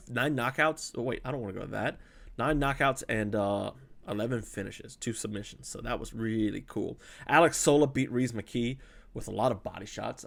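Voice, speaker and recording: A man's voice: mid-range voice, gravelly. A man from South Louisiana hosting a casual fight breakdown, chatty and rambling, with lots of fillers and self-corrections, speaking close to a desk mic. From South Louisiana, USA. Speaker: fight analyst host.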